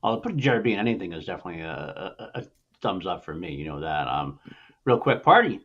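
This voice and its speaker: A man's voice: low-pitched, big pitch swings, quick pace, fairly bright tone, muffled recording. A middle-aged man hosting a casual movie discussion, rambling along with enthusiasm. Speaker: podcast host.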